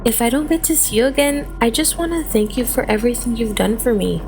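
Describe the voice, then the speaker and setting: A woman playing a gentle, selfless female android in a radio drama, earnest and sad. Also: a little high, expressive, fast talker, soft background music. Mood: tender, sad.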